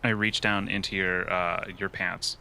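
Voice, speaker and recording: A man's voice: sits low, flat delivery, unhurried, creaky, pushed and bright, a little hiss or hum. A man playing a tabletop role-playing game, casually describing what his character does. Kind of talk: casual improvised game talk.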